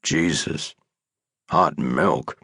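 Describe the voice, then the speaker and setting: A man voicing a laconic, weary cowboy in a hospital bed, speaking in short, low mumbles. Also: expressive, slow pace, a bit bright, no echo, clean studio sound, full character voice. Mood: terse. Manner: terse, mumbled, few words.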